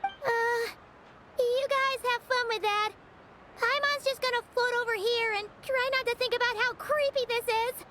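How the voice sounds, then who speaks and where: A woman voicing a small, excitable, easily scared fairy-like companion girl in an anime-style video game, with a childlike manner. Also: very high-pitched, a little slow, a little hiss or hum, full character voice. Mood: nervous. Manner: childlike, speaks of herself in third person.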